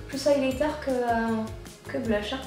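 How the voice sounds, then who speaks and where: A woman's voice: a little high, fairly even intonation, unhurried, a little mellow, distant mic. Chatty woman with a head cold, echoey. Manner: chatty, rambling, frequent fillers. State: congested with a cold. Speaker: beauty vlogger. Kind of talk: casual makeup chat while applying products. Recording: very echoey room, off-mic, faint music.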